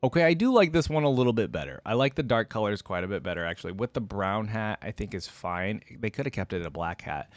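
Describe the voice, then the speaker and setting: A man hosting a reaction video in a casual, informal way. His pitch moves around in a lively, animated way as he shares opinions and then softens them. The sound is dry and close. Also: fast talker, few pauses, no room sound.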